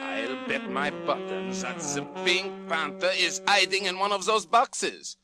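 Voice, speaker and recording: Pompous, high-pitched detective. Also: male voice, lively intonation, unhurried. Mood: scheming, boastful. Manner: pompous, boastful, theatrical. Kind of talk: comic radio-drama acting on a children's record. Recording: vintage children's LP with prominent music.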